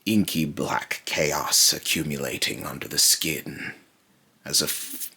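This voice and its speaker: A man voicing a brooding otherworldly being in a slow, halting, grave monologue, raspy and breathy, warning darkly about mortals. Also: extremely low pitch, bright, forceful tone, exaggerated voice. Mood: ominous. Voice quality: raspy, breathy, lapsing into harsh whisper. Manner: slow, halting, repeats phrases, ominous and grave. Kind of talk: dark dramatic monologue.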